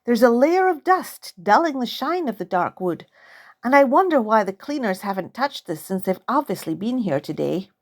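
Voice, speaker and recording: A woman's voice: on the high side, big pitch swings, moderate pace. A woman narrating a first-person story as its author, in a dramatic, suspenseful style. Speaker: author reading own novel.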